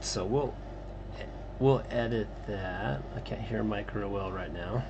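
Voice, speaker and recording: A man's voice: fairly low pitch, creaky, muffled recording, background noise. A man hosting a casual livestream while drawing comics, chatting with co-hosts who can't be heard. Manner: relaxed, talks to unheard co-hosts, halting.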